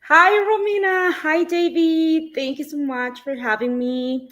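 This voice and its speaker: A woman's voice: high voice, fairly even intonation, measured pace, a bit bright. Woman from Peru, Spanish native speaker, eager, friendly, guest on live stream. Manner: friendly and eager, many fillers. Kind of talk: telling her own story on a live stream.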